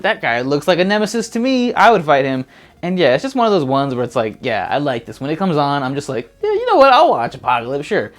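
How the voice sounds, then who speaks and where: A man co-hosting a casual movie chat, talking in a laid-back, slangy, rambling way. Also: medium pitch. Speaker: co-host. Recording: music playing behind. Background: background music.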